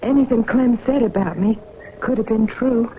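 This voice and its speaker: An actress playing a hesitant, vulnerable young farm wife in a 1970s radio drama. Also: female voice, on the high side, moderate pace, close to an everyday voice. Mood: tentative. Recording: muffled old radio recording, faint noise.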